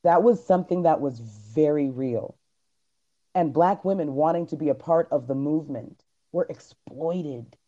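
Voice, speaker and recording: A man co-hosting a loose, casual podcast talk, pressing his points with emphatic, preachy energy. His voice is mellow and warm. The recording sounds a little dull and lo-fi. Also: a little high, a little slow. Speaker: podcast co-host. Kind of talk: casual group chat on a live stream.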